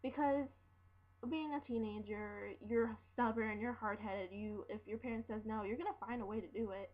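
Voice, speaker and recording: A woman's voice: on the high side, fairly even intonation. Teenage girl rambling into a laptop mic. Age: teens. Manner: rambling, halting, with many fillers and restarts. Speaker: vlogger. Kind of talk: casual rant to camera. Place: room at home. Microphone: built-in laptop mic. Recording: dull lo-fi laptop audio.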